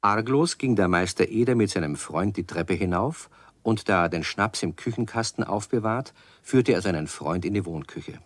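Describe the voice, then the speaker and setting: A man acting as storyteller in a children's radio play, narrating in measured storybook fashion. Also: lowish voice, animated, dry recording. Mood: storytelling. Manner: measured storybook telling, addresses listeners. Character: male storyteller of a children's tale.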